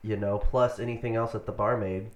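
An American man in his thirties talks casually as an interview guest. His voice sits fairly low and he rambles along conversationally. The sound is roomy and echoey. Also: steady tone, fairly quick. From Southern California, United States. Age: 30s. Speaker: interview guest, band member. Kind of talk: casual chat.

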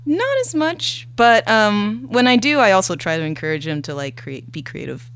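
A woman's voice: medium pitch, lively intonation, normal speed, a bit bright. A young woman speaking as an interview guest, chatting casually and a little hesitantly. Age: young adult. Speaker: guest, animator and illustrator.

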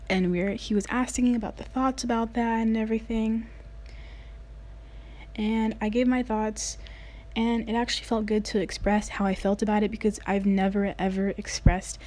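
A woman's voice: medium pitch, darkish tone. A woman in her late teens from the United States, earnestly telling a personal story in a casual way, with many "like" fillers. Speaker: vlogger.

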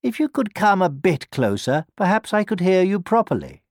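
A man's voice: high-pitched, sing-song, normal speed, no echo, studio quality, pitched above the performer's own voice. A man voicing a sly, polite storybook fox, coaxing as he pretends to be hard of hearing. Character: sly, polite male fox feigning deafness. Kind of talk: storybook character voice.

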